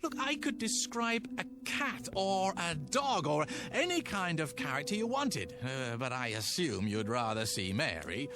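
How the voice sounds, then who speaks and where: An actor playing an excitable, earnest playwright husband in a radio drama, pleading and stammering with dramatic emphasis. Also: male voice, on the high side, a little slow, hardly any pauses. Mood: intent, coaxing.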